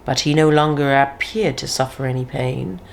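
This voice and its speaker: A woman reading a classic horror tale aloud in slow, deliberate dramatic narration, sometimes dropping to a near whisper. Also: rumbling low, vocal fry. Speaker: reader of a classic horror story.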